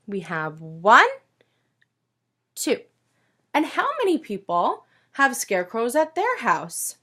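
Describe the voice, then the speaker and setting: Slow, animated woman reading to children. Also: a little high. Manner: expressive, sing-song counting, addresses listeners with questions. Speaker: teacher reading aloud to young children. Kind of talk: children's picture book read-aloud with asides and questions.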